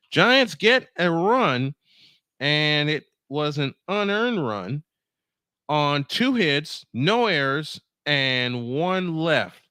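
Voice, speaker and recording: A man's voice: fairly high pitch, sharp tone, clean tone, dry recording, studio quality. A man doing live baseball play-by-play on a stream, mixing in casual chat and rambling as he thinks aloud.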